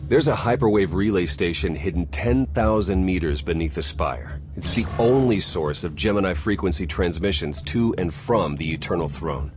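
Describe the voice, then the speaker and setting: An actor playing a keen, sardonic intelligence agent in a video game, with a dry, brisk briefing manner. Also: male voice, sits low, expressive, background noise. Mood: intent, briefing.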